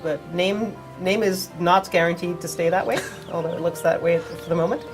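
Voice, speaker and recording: Woman with a cold, fairly low voice. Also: steady tone, moderate pace, music in the background. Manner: plain, informative, with fillers. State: has a cold. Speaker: community manager on a game stream. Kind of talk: casual explaining on a livestream.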